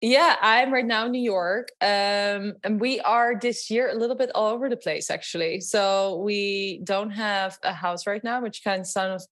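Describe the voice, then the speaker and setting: A woman from the Netherlands speaks English with a clear Dutch accent, her voice sitting at an ordinary middle pitch. She talks casually and chattily as an interview guest on a remote call, filling pauses with ums and breaking into easy laughs. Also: a bit bright. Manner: chatty, laughs often, frequent fillers. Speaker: guest, company co-founder.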